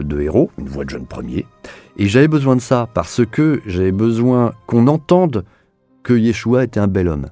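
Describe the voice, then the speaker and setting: A man's voice: mid-range voice, warm tone, no echo. A man being interviewed as an audio series director, explaining his own work in a hesitant way, often repeating words as his thoughts form.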